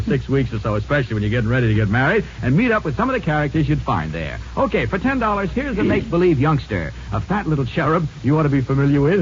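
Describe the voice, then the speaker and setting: A man's voice: fairly high pitch, muffled recording, slight background noise. A man hosting a 1940s radio quiz show, bantering chattily and teasing the contestants, on an old radio recording. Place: radio studio with audience.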